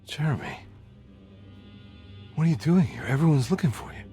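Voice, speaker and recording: An actor playing an earnest, insistent investigator in a game cutscene, pressing with urgent questions. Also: male voice, on the high side, huge pitch swings, normal speed, dark tone, soft background music. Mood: concerned. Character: earnest, insistent male investigator seeking a missing man. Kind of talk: video game cutscene acting.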